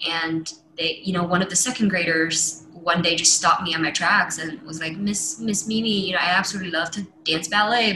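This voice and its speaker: Bright-voiced woman chatting in an echoey room. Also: fairly low pitch. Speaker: ballet class host and channel founder. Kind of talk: casual, conversational interjection. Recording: roomy echo, faint background noise.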